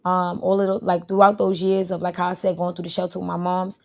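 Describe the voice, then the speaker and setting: A woman rapper answering interview questions. Her voice is low, gravelly and fairly flat. She rambles loosely, full of fillers. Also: quick pace. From New York. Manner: rambling, relaxed, many fillers.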